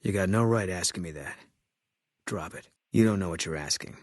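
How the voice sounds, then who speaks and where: A man voicing a grim, embittered military sniper in a video game, terse and guarded, with a husky voice. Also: low-pitched, moderate pace, dry recording, studio quality. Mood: curt, hostile. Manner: terse, guarded, flat, clipped delivery. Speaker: video game companion character. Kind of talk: game voice acting, terse dialogue lines.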